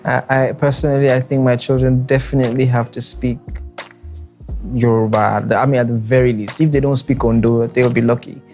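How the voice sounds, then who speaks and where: A man's voice: medium pitch, level tone, fairly quick, darkish tone, soft background music. Joking Nigerian man telling a story. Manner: jokey, storytelling, repeats words. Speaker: guest, husband. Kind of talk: casual podcast chat.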